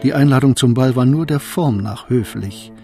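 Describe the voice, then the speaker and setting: A man's voice: medium pitch, moderate pace, mellow, faint music behind, natural voice. A man narrating a historical court tale in a radio drama, as a composed literary storyteller.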